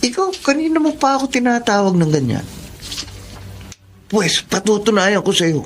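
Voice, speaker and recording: A man's voice: high-pitched, lively intonation, moderate pace, slight background noise. A man acting an arrogant, menacing young student bully in a Filipino radio drama, with a taunting manner and a Filipino accent. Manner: taunting, sneering.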